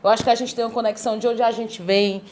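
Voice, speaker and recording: A woman with a Cearense accent answers interview questions in a thoughtful, easygoing way, often checking in with a quick tag question. Her voice sits somewhat high for a woman. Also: fairly even intonation, darkish tone. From Sobral, Ceará, Brazil. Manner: reflective, many tag questions. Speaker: guest, cultural worker.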